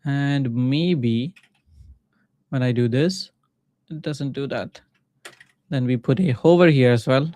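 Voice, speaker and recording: A man from Pakistan with a Pakistani accent and Urdu as his first language, casually thinking aloud in short halting phrases as he live-streams coding. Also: mid-range voice, darkish tone. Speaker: livestreaming coder and mentor.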